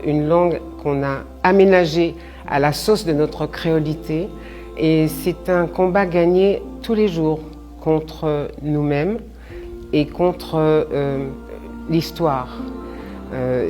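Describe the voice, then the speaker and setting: A woman writer from the Creole-speaking Caribbean, speaking earnestly in an interview. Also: low voice. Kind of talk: reflective interview testimony. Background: music.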